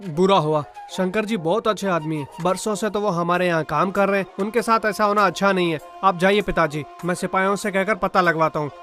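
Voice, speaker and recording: A man performs a dutiful young cartoon official with a high male voice. He sounds earnest, formal and firm, with faint music underneath. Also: brisk, hardly any pauses, a bit bright. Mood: concerned. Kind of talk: Hindi moral-story cartoon dialogue.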